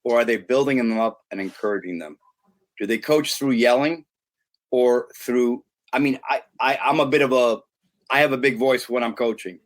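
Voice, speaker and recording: A man's voice: medium pitch, normal speed. Middle-aged american guy on a podcast, earnest, keeps restarting his sentences. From United States. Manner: earnest and emphatic, with frequent restarts. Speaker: guest, youth sports consultant. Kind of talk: podcast interview talk.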